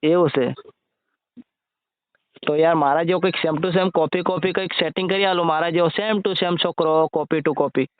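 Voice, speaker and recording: Male, Gujarati accent, notably high voice, casual and repetitive questioning, dull dry phone-call recording. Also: steady tone, measured pace, dry recording. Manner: colloquial, repeats himself, questioning. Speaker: amateur video creator on a phone call.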